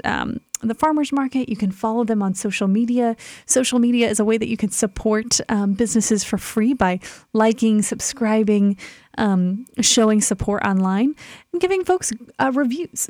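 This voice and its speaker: A woman's voice: fairly high pitch, moderate pace, a little mellow, no echo. A woman, a kitchen director, giving upbeat promotional interview answers with frequent "um"s.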